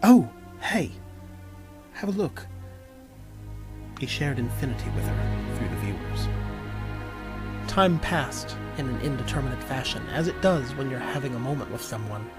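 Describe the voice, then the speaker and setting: A man's voice: medium pitch, expressive, moderate pace. Man narrating a romantic audio story. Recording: music behind the voice.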